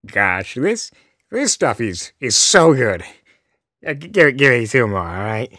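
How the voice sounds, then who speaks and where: A man voicing a male chimera trickster with a theatrical, drawn-out delivery in an animated radio play. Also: medium pitch, no room sound, studio quality, full character voice. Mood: drunk, giddy. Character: male chimera trickster, mopey worrier turned giddy drunk.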